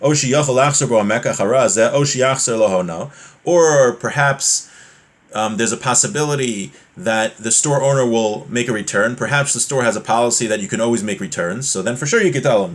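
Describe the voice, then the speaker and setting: A man teaching a religious class informally, reading from a text and explaining it conversationally with everyday examples. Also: medium pitch.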